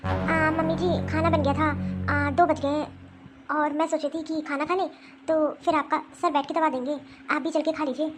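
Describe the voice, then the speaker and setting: A meek, dutiful young daughter-in-law acted by a woman in a homemade family drama. She speaks politely in a high, gentle voice, with a puzzled, deferential manner. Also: fairly even intonation, moderate pace, over music, lightly acted. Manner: polite, soft-spoken, puzzled.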